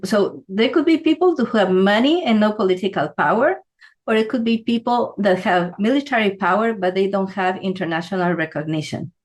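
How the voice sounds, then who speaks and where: A woman from Ecuador explaining symbolism in a relaxed teaching talk, often checking in with "right?". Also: medium pitch, a little fast. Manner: conversational, asks "right?" often. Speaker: feng shui teacher.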